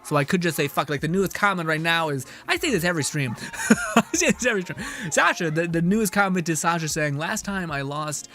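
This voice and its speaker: A man's voice: fairly high pitch, expressive, brisk, bright, forceful tone. A young man streaming a game, chatting casually with his viewers and reading their messages aloud, laughing and swearing often.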